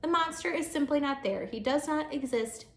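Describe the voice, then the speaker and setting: A patient grandmother, voiced by a woman reading a storybook, high in pitch and a little weary. She reasons firmly, in an echoey room. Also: moderate pace. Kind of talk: children's storybook read-aloud.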